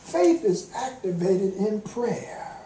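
An older man preaching a sermon from a church pulpit with a deliberate, emphatic cadence. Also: high voice, very animated, warm tone. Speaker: guest preacher, minister. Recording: echoey church room.

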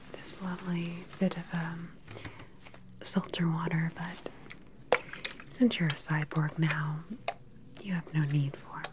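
A woman in her thirties playing a calm, sinister scientist in an ASMR sci-fi roleplay, whispering softly, close to the mic, with a clinical, condescending manner. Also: low-pitched, noisy background. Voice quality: soft, breathy whisper. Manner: unhurried, clinical, quietly condescending. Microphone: close microphone, seated facing the camera.

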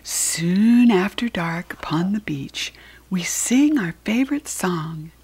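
Breathy American woman reading slowly to children. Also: on the low side. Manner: expressive, addressing small children. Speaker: children's book reader. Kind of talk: read-aloud storytelling for young children.

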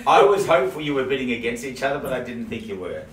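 A middle-aged man calling bids at a live house auction, cracking jokes and repeating figures in a quick patter. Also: mid-range voice, very animated, a crowd in the background. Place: living room of a house. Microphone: camera across the room. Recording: roomy, distant camera audio.

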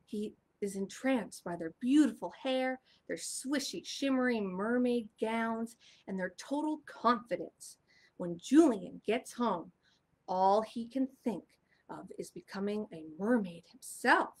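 Animated, slightly husky young woman. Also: fairly high pitch, a little slow. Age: young adult. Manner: expressive, enunciating for children. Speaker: children's storytime host. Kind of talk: animated read-aloud for young children. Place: small furnished room indoors.

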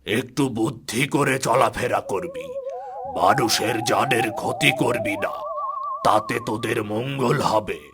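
A man voicing a pompous village exorcist in a cartoon, commanding, with drawn-out incantatory speech. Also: mid-range voice, huge pitch swings, heavy background noise. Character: pompous male village exorcist chanting nonsense spells. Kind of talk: cartoon voice acting. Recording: faint music behind the voice.

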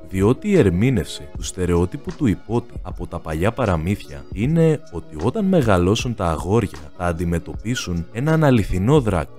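A man's voice: fairly low pitch, a little mellow. A man narrating scripted commentary as a video essayist. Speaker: anime analysis YouTuber, narrator.

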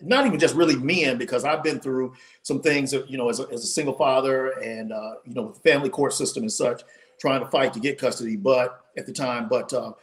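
Guest author, forties, male, animated storyteller on a home video call, voice tiring. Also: mid-range voice. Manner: animated, storytelling with many restarts. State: losing his voice. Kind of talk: casual interview storytelling. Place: home room, indoors.

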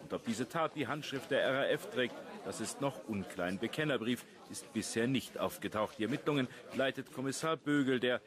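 A male actor plays a live news reporter in a radio drama. His mid-pitched delivery is formal and courteous. A busy outdoor crowd surrounds him. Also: brisk, lightly acted. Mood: formal, urgent.

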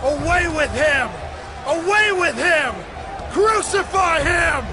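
A man playing a jeering, hostile member of a mob in a Bible drama, shouting drawn-out chants. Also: very high-pitched, expressive, full character voice. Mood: furious. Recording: distant crowd and music behind.

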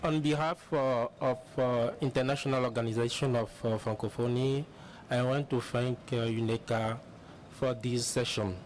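A man's voice: mid-range voice, steady tone, normal speed, a little mellow, a little hiss or hum, poor audio. A man speaking on a conference panel for his organization, emphatic and hesitant. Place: large conference hall.

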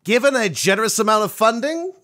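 An actor playing a smooth-talking, opportunistic agency director in an audio drama, assured and ingratiating. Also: male voice, high-pitched, lively intonation, brisk, bright, forceful tone. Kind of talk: scripted audio drama acting.

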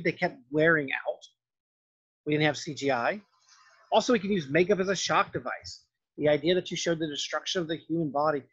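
A man's voice: fairly high pitch, breathy edge, dull audio. A man giving a lecture over slides in an explanatory, loosely worded, conversational way. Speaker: film and art history lecturer.

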